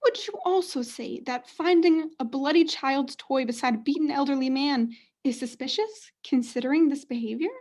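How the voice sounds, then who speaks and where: A woman's voice: high voice, normal speed, hardly any pauses, a little mellow, lightly acted. A young woman playing a self-satisfied, doting mother, coy and theatrical, in a student radio play. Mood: coy, probing.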